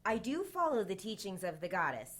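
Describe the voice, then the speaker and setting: A mid-pitched female voice for an icy young witch in an audio drama. She is curt and sarcastic, with measured, defiant answers. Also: big pitch swings. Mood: guarded. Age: 20s.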